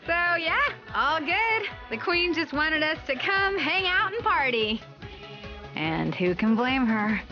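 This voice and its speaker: A woman playing a sassy, confident hero who narrates an animated game in a wry, conversational way with playful asides. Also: high voice, slow pace, lo-fi sound, faint music behind, heavily acted. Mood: breezy, confident. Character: sassy, confident female hero recapping the adventure. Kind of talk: conversational scripted cutscene narration.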